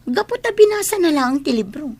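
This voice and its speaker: A woman's voice: high-pitched, sing-song, a little fast, darkish tone. A woman playing an envious, argumentative young classmate in a radio drama, challenging and complaining as she talks. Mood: challenging, scornful.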